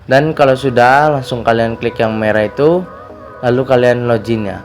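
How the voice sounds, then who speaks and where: Casting: male tutorial host, mid-pitched slightly hoarse voice, chatty casual delivery, music underneath. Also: moderate pace. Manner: chatty, repetitive verbal tags. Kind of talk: casual screen tutorial narration.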